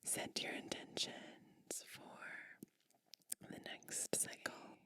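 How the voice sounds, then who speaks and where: A woman whispering a soothing, attentive ASMR roleplay, breathy and unhurried, speaking directly to the listener. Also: pushed and bright, no echo. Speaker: ASMR creator playing a gentle witch.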